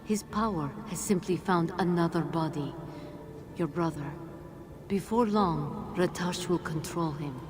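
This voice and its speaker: An actress playing a mysterious, wise female guide in a video game cutscene, speaking in a grave, measured, authoritative way. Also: fairly low pitch, over music, close to an everyday voice. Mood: grave, insistent.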